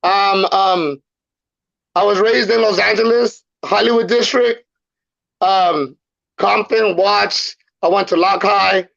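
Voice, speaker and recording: A man's voice: sits high, fairly even intonation, slow, vocal fry, fairly bright tone. A man from El Salvador, a podcast guest telling his life story casually, using street slang and repeating phrases. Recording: muffled lo-fi sound.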